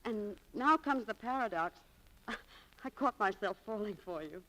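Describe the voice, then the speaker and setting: Lively, swooping romantic young shopgirl. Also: mid-range voice, measured pace, poor audio. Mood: candid, confiding. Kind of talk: 1940s radio drama acting. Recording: vintage 1940s radio recording.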